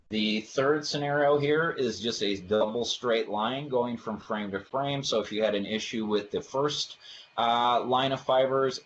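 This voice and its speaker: A man giving an explanatory product presentation for a webinar, talking through technical slides in informal phrasing. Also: mid-range voice. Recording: dull lo-fi audio, faint background noise.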